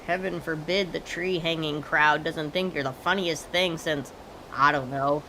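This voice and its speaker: A woman's voice: deep voice, fairly even intonation, unhurried, sharp tone, rough recording. An actress playing an exasperated, sarcastic American daughter in her twenties in a comedic audio drama. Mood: weary, dry. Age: 20s. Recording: faint background hiss.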